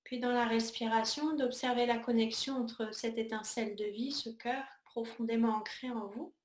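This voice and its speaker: A woman teaching yoga, guiding a meditation in a calm, soothing, unhurried way with pauses between short phrases. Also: on the high side. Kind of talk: guided yoga and meditation instruction. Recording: echoey room sound.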